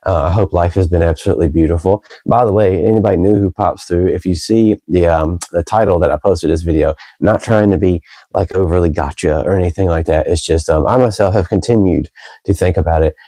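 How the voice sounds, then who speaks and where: A man's voice: low voice, dark tone, dry recording, low-quality recording. A man chatting casually on a live stream, congested and sniffly from a cold, greeting viewers by name. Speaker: fragrance streamer.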